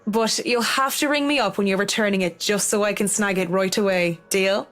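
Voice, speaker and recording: A woman's voice: medium pitch, moderate pace, a bit bright, faint music behind, lightly acted. An actress voicing a polite, obliging young professional woman in a video game. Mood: friendly, reassuring.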